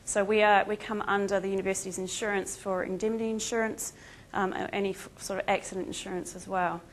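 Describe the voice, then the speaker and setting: A woman's voice: mid-range voice, level tone, fast talker, slight background noise. An Australian woman whose accent has shifted toward New Zealand gives a conference presentation. She talks in a chatty, self-deprecating way and leans on frequent ums between thoughts. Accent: New Zealand-tinged Australian. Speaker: conference speaker, veterinary emergency team member.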